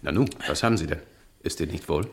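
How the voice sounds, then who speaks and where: Suave male impostor, deep register, urbane, mocking, radio mystery. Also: fast, breathy edge. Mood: soothing. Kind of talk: German radio crime drama acting.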